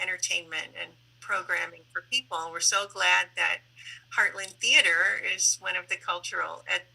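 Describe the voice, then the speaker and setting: A woman hosting an online panel in a conversational, deliberate way, with some halting phrasing. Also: lowish voice, gravelly, bright, forceful tone, rough recording. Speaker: host and moderator of a public online panel.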